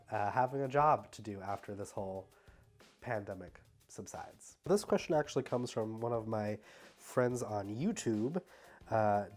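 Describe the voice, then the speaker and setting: A young man with a stuffy nose, talking casually at home in a rambling, halting way full of fillers. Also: on the low side, a little mellow. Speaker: travel vlogger. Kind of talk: casual vlog Q&A.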